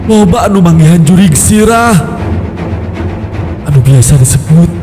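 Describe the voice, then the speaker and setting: Dramatic high-voiced Sundanese male storyteller. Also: animated, unhurried. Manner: dramatic, repeats phrases for suspense. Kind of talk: dramatic first-person horror folk tale narration. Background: background music.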